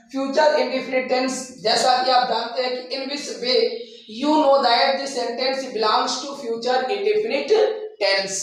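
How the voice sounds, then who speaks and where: A man's voice: very high-pitched, fairly bright tone. A man teaching grammar step by step in a didactic way, repeating phrases and posing rhetorical questions. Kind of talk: step-by-step classroom lesson. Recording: very echoey room, distant microphone.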